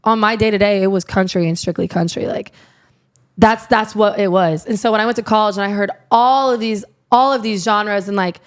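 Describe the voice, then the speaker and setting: A young woman from Iowa with a raspy voice, chatting casually as a podcast guest, full of filler words. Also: medium pitch, fairly even intonation, brisk. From Iowa, USA. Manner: rambling, lots of "like" fillers. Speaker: guest, country singer. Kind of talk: casual interview chat.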